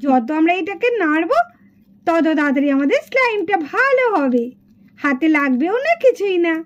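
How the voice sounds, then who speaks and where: Very high-pitched little schoolgirl doll. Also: sing-song, normal speed, muffled recording. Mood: excited, instructive. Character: excited little schoolgirl doll making slime. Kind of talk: children's puppet show dialogue.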